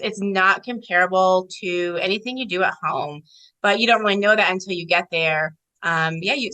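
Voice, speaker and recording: A woman's voice: on the low side, sharp tone, poor audio. A woman giving informal, explanatory answers in a conversational interview about her job as an air traffic controller.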